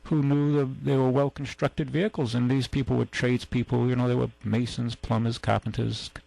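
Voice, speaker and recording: A man with a New England accent rambles through a casual radio chat about used cars. His voice is gravelly, and he keeps circling back with fillers and restarts. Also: mid-range voice, flat delivery, fairly quick, a little mellow, dry recording, rough recording. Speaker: radio show host, car dealer.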